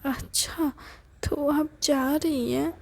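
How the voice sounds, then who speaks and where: A woman doing a small, tearful boy who begs and whines in a high, raised voice, with a gravelly, husky edge. His words come slowly and drawn out. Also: fairly even intonation, heavily acted. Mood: pleading. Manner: pleading, raised and whiny. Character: small boy, whiny and pleading.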